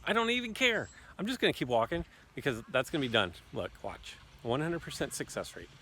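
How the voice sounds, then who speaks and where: A man's voice: medium pitch, sing-song, a little fast, sharp tone, slight background noise. A man giving casual, playfully boastful commentary on a mobile game while walking in a park. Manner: playful, boastful trash talk. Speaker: gaming YouTuber.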